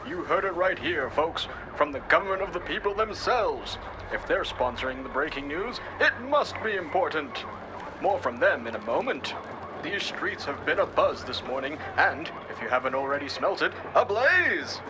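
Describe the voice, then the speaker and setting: A man performs an excitable cyberpunk news reporter with a theatrical, sardonic edge. His slightly high voice swoops animatedly through each dramatic phrase, with clear street and vehicle noise behind him. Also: normal speed. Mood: dramatic, urgent. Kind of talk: dramatic live news broadcast acting.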